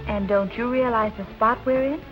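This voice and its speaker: A woman's voice: on the high side, fairly even intonation, moderate pace, dull audio, lightly acted. An actress playing a determined, plucky young woman sleuth in a 1940s film noir style. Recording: old film soundtrack with music behind.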